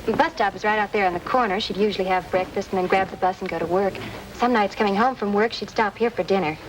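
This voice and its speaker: An actress playing a chatty, helpful coffee shop waitress in an old radio drama. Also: female voice, mid-range voice, fast, close to an everyday voice. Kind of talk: 1950s radio drama acting. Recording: old radio recording, faint hiss.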